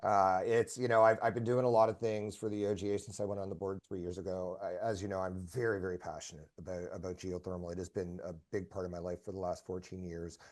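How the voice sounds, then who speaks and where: A man who is a guest on a video-call interview, hoarse today, talking passionately and often repeating his words. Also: low-pitched, quick pace, few pauses. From Canada. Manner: passionate, energetic, repeats himself. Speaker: guest, association president. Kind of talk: casual interview chat.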